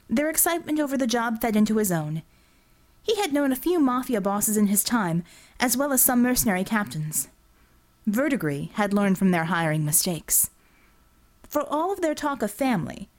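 A woman reading a scripted work of fiction as an audiobook narrator. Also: on the high side.

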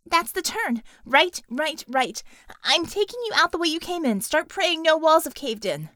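A woman's voice: sits high, sing-song, normal speed, bright, forceful tone, dry recording, clean studio sound, voice pushed up, heavily acted. A woman voicing an urgent, bossy young woman who guides a squad over a comm in clipped commands, in an audiobook. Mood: urgent, commanding.

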